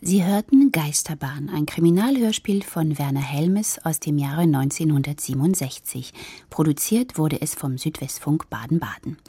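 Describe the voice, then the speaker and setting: A woman announcer with a very low, dark voice reads a scripted radio credit. The sound is slightly husky and recorded close and dry. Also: moderate pace, dry recording.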